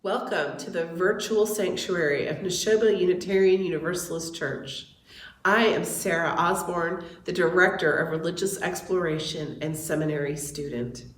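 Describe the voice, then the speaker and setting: A woman giving a measured, formal welcome and call to worship as a church's religious education director. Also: lowish voice, echoey room. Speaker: director of religious exploration and seminary student.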